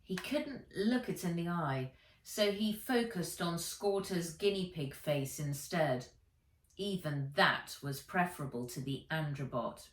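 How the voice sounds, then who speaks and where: A woman's voice: fairly low pitch, a little slow. A woman narrating a children's adventure story aloud as an author reading from a book. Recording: roomy echo, distant from the mic.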